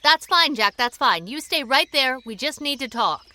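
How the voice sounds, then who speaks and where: A woman's voice: high voice, animated, moderate pace, pushed and bright, slight background noise, exaggerated voice. A woman acting in a radio drama as a feisty, plain-spoken woman who pleads earnestly and urgently. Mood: urgent, calling out.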